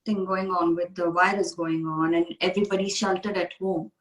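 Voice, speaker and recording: A woman's voice: on the low side, little pitch movement, normal speed. Woman from india hosting a chat, earnest and emphatic, echoey room. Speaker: coach, interview host. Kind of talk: casual interview conversation.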